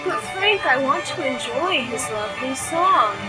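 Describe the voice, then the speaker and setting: A woman's voice: high voice, expressive, unhurried, loud music behind the voice. A woman playing a bold, talkative girlfriend in an audio drama, coy and teasing in manner. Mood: entranced.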